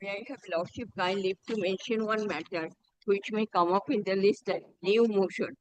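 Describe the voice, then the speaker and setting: A man's voice: high voice, fairly even intonation, moderate pace, dry recording, rough recording. A man with an Indian accent making a formal, deferential request to a judge as a lawyer. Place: courtroom.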